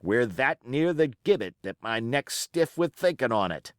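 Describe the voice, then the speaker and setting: A man's voice: medium pitch, very animated, moderate pace, a bit bright, clean tone, no room sound, studio quality. A man voicing a cunning, scornful pirate ringleader in an audiobook, taunting and emphatic with rhetorical questions. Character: Long John Silver, scornful cunning male pirate ringleader.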